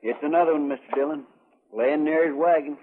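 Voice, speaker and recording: A man's voice: fairly high pitch, steady tone, a little fast, noisy background, close to an everyday voice. A man playing a folksy, deferential marshal's sidekick in a radio western, with a rural American accent. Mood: dutiful, earnest. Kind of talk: 1950s radio western acting. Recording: muffled, echoey vintage radio broadcast.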